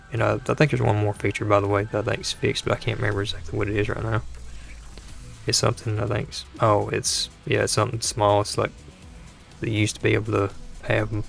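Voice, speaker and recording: A man hosting a gaming channel, talking casually over gameplay with a dry throat and a hoarse voice, rambling and exasperated, often restarting his sentences. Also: on the low side, a bit bright. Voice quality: gravelly, creaky voice.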